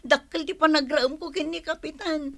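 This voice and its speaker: Village mother, female actor: emotional and plain-spoken, with a high, piercing voice for serial drama. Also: steady tone, moderate pace, gravelly, a bit bright. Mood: tearful, grateful. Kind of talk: dramatized radio-style serial acting.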